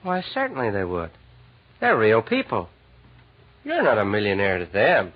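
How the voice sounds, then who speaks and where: Earnest department store man, excitable, swooping intonation, 1940s radio drama, dull noisy recording. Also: on the low side, moderate pace. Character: eager, earnest department store employee, deferential to boss. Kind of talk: old-time radio drama acting.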